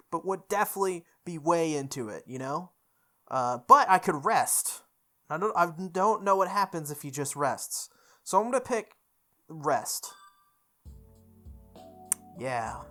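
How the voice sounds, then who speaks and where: A woman with a low-pitched voice hosts a game playthrough over clearly present music. She reads story text aloud in a deliberate, measured way and adds chatty, casual commentary of her own. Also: fairly bright tone. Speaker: gaming video host.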